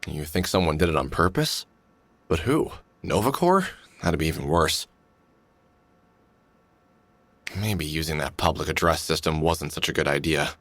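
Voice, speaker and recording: A man's voice: a bass voice, animated, moderate pace, a bit bright, no echo. An actor playing a weary, wry middle-aged man in a video game, talking in a dry, grumbling way. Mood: wary, grumbling. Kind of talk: video game voice acting, muttered inner monologue and dialogue.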